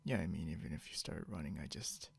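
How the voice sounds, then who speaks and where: A man playing a possessive, snarky, non-human captor in an ASMR roleplay, speaking softly and deliberately, often close to a whisper, with smug condescension. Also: rumbling low, exaggerated voice. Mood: teasing, menacing. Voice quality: soft, breathy, often near whisper. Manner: smug, teasing, slow and deliberate.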